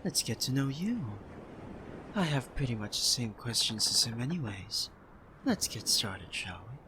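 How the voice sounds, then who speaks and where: A man's voice: mid-range voice, sing-song, normal speed, background noise, close to an everyday voice. A man playing a suave, self-assured pirate captain in an ASMR roleplay, courteous and playfully smug.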